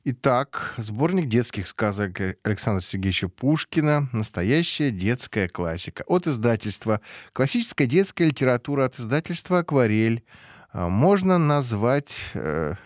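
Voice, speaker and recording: A man's voice: medium pitch, very animated, normal speed, no room sound, clean studio sound. A man hosting a radio show, announcing a quiz winner and describing the prize in a chatty way, with frequent hesitation fillers. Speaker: radio host.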